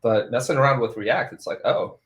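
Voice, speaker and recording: A man's voice: medium pitch, fairly quick. A man hosting a casual tech podcast, rambling and laughing often between phrases. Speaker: podcast host. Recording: roomy echo.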